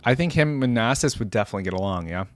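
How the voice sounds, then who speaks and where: A man's voice: mid-range voice, animated, rapid-fire. A man hosting a reaction video, giving informal, slangy, conversational commentary.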